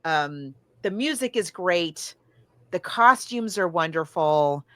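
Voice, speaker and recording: Female podcast co-host, lowish voice, dry close sound, gushing and enthusiastic in casual chat. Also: animated, slow pace, dry recording. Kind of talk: casual film and book discussion.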